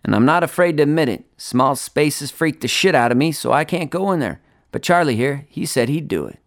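Brash young hustler in an audiobook story, played by a male narrator: impatient, pushy, emphatic, pitched up. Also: animated, brisk, no echo, clean studio sound. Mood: excusing himself. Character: brash, impatient, foul-mouthed young man, a fast-talking fibber.

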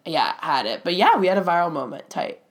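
A man with a high-pitched, shrill voice co-hosting a casual comedy podcast, joking and laughing a lot. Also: big pitch swings, brisk. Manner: jokey, laughs often, riffs on bits. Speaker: podcast co-host.

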